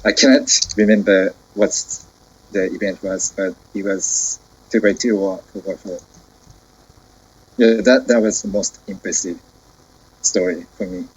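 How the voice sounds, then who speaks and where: Man, 20s, Japanese accent, slightly low and breathy; measured, halting self-introduction over a call. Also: fairly even intonation, a little mellow, low-quality recording. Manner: careful, halting, searching for words. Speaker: committee member. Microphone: video call.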